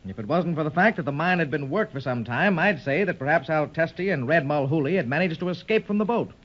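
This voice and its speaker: An actor playing a worried, earnest gentleman treasure seeker in a 1930s radio serial, speaking plainly. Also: a little high, lively intonation, fast talker. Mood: worried, grave. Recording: muffled vintage 1930s radio recording.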